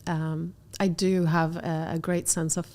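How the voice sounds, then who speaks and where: Low-voiced woman in reflective interview. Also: level tone, a little slow, dark tone. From born in Algeria, grew up in the Middle East. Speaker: interview guest, entrepreneur. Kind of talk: casual reflective conversation.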